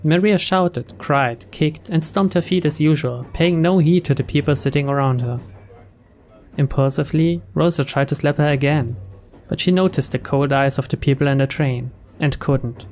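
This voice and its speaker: A man's voice: fairly high pitch, normal speed, darkish tone, a little hiss or hum. A man reading scripted story narration aloud from a visual novel as a let's player.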